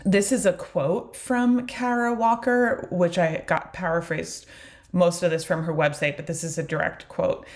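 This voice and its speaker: This is a woman with a New York-area accent giving an online drawing lesson. Her voice sits low, and she talks in a relaxed, chatty way, with plenty of fillers and small asides as she moves through the examples. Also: unhurried. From New York area, USA. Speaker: art teacher. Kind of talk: casual online course lecture.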